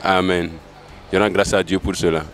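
A young man gives a personal testimony in an interview. His voice is low, and faint ambient noise from a large open hall sits behind it. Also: flat delivery, moderate pace, fairly bright tone. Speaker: convention attendee. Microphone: handheld interview microphone.

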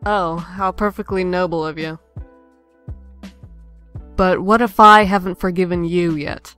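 A woman's voice: lowish voice, fairly even intonation, unhurried, a bit bright, clear, resonant tone, faint music behind. A woman voicing a bitter, sarcastic estranged sister from fairy tales, biting and dramatic, in a comic dub.

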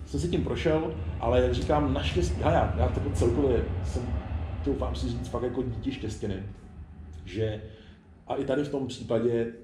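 A man's voice: mid-range voice, mellow, slight background noise. A man in his forties talking casually and candidly as an interview guest, rambling informally. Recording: echoey room.

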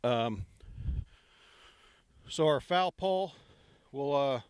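A middle-aged man giving a relaxed walking tour of an outdoor construction site, speaking into a handheld microphone with many ums. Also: medium pitch, drawn-out words, clean tone, no room sound.

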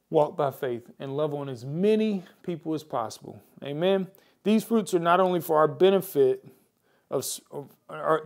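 A man's voice: fairly high pitch, darkish tone. An American man preaching a mid-week sermon as a pastor, exhorting his listeners directly and repeating key phrases for emphasis. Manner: exhorting, addresses viewers directly. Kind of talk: mid-week church sermon with prayer and scripture.

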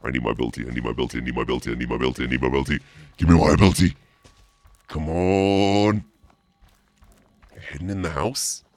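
A man's voice: steady tone, fast talker, pauses often, darkish tone, no echo. A man streaming a horror game, swearing and mocking in theatrical live commentary. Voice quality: deep, gravelly, through a voice changer at times. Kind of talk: live gameplay commentary, trash talk.

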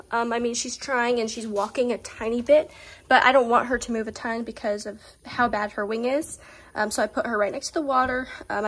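A young woman with a Southern US accent, talking casually through a how-to farm vlog, full of "um"s and soft, soothing words to her animals. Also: on the high side. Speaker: vlogger caring for farm animals. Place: outdoors on a farm by animal pens. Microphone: phone camera held close, selfie framing.

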